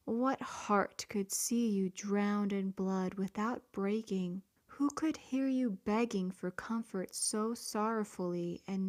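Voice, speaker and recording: A woman's voice: mid-range voice, a little mellow. A woman reading a devotional prayer in an earnest, pleading way, some words trailing off into a whisper.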